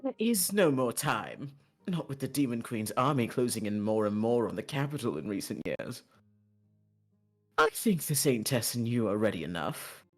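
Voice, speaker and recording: A woman's voice: very deep voice, sing-song, moderate pace, a little mellow, soft background music, pitched below the performer's own voice, exaggerated voice. A woman voicing an imperious, domineering empress and mother in a visual novel read-aloud. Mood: commanding.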